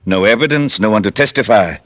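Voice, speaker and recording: An actor playing a calm, murderous plantation villain in an old radio drama, measured and smugly polite. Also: male voice, on the low side, no echo, natural voice. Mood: smug menace. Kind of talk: 1950s radio drama acting.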